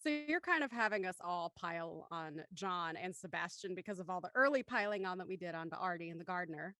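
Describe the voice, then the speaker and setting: A woman's voice: lowish voice, animated, a little fast, pushed and bright, no echo, clean studio sound. A woman hosting a casual panel chat, introducing and steering the talk. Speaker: host.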